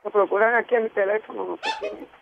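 Angry high-voiced Puerto Rican man. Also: huge pitch swings, quick pace, creaky, dull audio, noisy background. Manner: angry, cursing. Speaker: prank call target.

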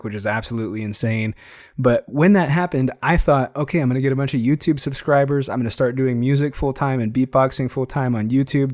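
A young man hosting his own podcast, talking casually and eagerly with a slightly hoarse, tired voice. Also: medium pitch, dry recording, studio quality. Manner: chatty, enthusiastic, frequent fillers. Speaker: podcast host. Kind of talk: casual solo podcast monologue.

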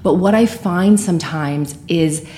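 Clear-voiced woman co-host, confident. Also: on the low side, a little slow, warm tone, slight background noise. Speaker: podcast co-host. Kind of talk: casual podcast talk.

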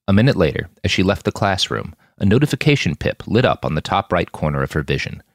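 A man's voice: sits low, big pitch swings, quick pace, no room sound, studio quality. A man reading scripted fiction as the narrator of an audiobook-style podcast.

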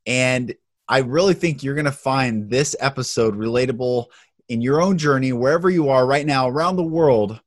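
A man hosting a spiritual podcast, speaking earnestly and slowly as he introduces and questions his guest. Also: medium pitch, no echo, clean studio sound. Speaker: podcast host.

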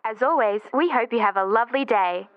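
A woman's voice: on the high side, a little fast, lightly acted. A woman voicing a polite automated employee hotline in an audio drama, reading formulaic scripted phone prompts. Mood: formal, polite. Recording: dull, noisy phone-line sound with clicks.

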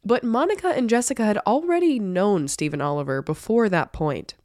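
A woman's voice: mid-range voice, lively intonation, brisk, darkish tone, dry recording, clean studio sound. A woman with a cold and a stuffy nose, hosting a true crime podcast and telling the story in a casual, chatty way. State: congested from a cold. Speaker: podcast host.

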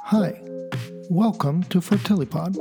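A man hosting a podcast, presenting in an orderly way and putting questions to a guest, with a husky voice. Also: high-pitched, unhurried, music in the background. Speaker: podcast host. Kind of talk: scripted podcast introduction and interview questions.

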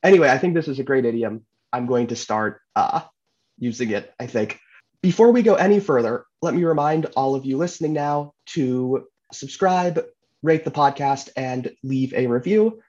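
A man hosting a podcast, talking in a relaxed, chatty way. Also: medium pitch, moderate pace, dull audio. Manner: relaxed, chatty, uses fillers. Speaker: podcast host. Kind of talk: casual podcast chat.

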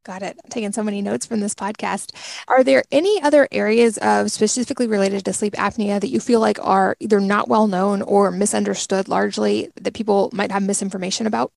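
A woman hosting a podcast interview, asking curious follow-up questions. Her voice has heavy vocal fry throughout and sounds very dry and close. She keeps affirming her guest. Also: mid-range voice, steady tone, brisk, a little mellow, no room sound. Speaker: podcast host.

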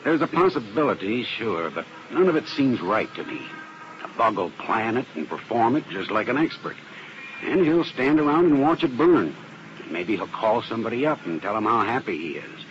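A man's voice: mid-range voice, big pitch swings, normal speed, close to an everyday voice. Man playing a matter-of-fact arson specialist. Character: methodical male fire investigation specialist. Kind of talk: 1950s radio drama acting. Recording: muffled, hissy vintage radio broadcast.

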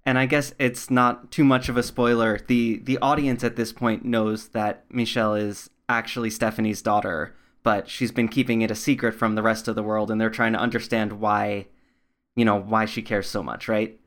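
A man's voice: on the low side, flat delivery, moderate pace, a bit bright. A man hosting a podcast interview, conversational, attentive and affirming toward his guest.

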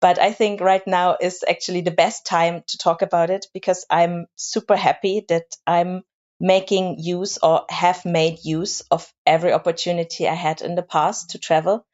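Woman guest, lowish voice, casual and searching, lots of small restarts. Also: flat delivery, moderate pace, a little mellow, muffled recording. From Germany. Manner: thoughtful, repeats words as she searches for them. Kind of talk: casual interview chat.

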